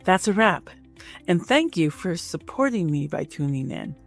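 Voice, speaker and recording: A woman with a creaky voice, speaking conversationally as an interview host and career coach. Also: fairly low pitch, sing-song, measured pace, soft background music.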